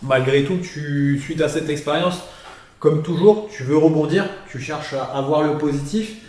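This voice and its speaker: Male interviewer, motivational asides, boomy reverberant space. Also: moderate pace. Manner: warm prompting, addresses the audience directly. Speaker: host. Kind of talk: interview host, encouraging. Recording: very echoey room.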